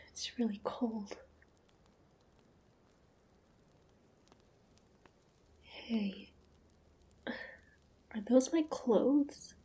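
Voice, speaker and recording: A woman's voice: sits high, a little mellow. A woman playing a proud, defiant monster hunter in a dramatic fantasy audio roleplay. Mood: weak, bewildered. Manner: haughty, taunting, dramatic. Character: proud, defiant young female monster hunter, grudgingly curious.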